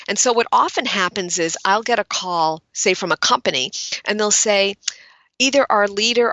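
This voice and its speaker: A middle-aged woman from Brooklyn with a General American accent, talking as an executive speech coach in a podcast interview, crisp and articulate. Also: medium pitch, pushed and bright, dry recording. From Brooklyn, New York.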